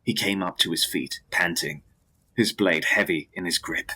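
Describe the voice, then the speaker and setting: A man narrates gothic fiction in a dramatic, scripted style. His voice is low and husky, with a bright, forceful edge that keeps the words tense. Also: normal speed, rough recording. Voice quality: deep, husky.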